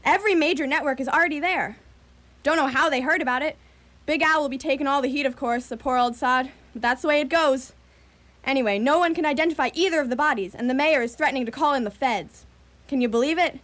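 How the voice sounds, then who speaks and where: A woman's voice: sits high, fast talker, fairly bright tone. A woman playing a gushing, gossipy grown daughter in a radio play, chatty and dramatic. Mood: gossipy, excited. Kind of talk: community radio theatre acting.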